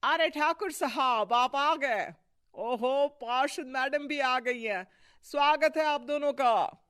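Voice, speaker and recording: A woman plays a fawning, pleading local in a cartoon moral tale. The voice is pushed high and sounds effusive and deferential, recorded very dry. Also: takes it slowly, clear, resonant tone, dry recording. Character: fawning, pleading townsperson in an animated moral story.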